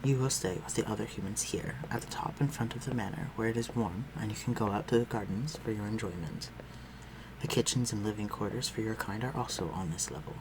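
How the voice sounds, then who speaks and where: A man playing a refined, protective vampire lord in an intimate audio roleplay, speaking formally and with measured command. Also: fairly low pitch, background noise, close to an everyday voice. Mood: calm, guiding. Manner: formal, measured, archaic phrasing, dropping to breathy murmurs.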